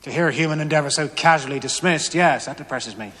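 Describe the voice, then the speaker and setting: A man's voice: a little high, fast talker, sharp tone. An actor playing a stern, disapproving man in a television play, speaking with formal reproach. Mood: disdainful. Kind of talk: television stage-play acting. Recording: echoey hall with long reverb.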